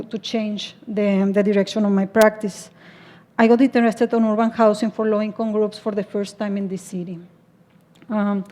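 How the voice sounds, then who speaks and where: A middle-aged woman from Mexico with a clear Mexican accent, delivering a lecture from a podium. Her voice is mellow and warm, and it stays fairly flat in pitch. She pauses often with fillers and restarts her phrases. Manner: hesitant, frequent fillers and restarts. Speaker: guest lecturer. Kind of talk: public lecture. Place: lecture hall.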